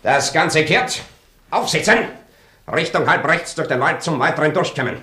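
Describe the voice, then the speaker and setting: An actor playing a brusque, arrogant lieutenant in a German radio play, giving clipped commands impatiently. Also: male voice, a little high, lively intonation, normal speed, pushed and bright. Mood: impatient, commanding.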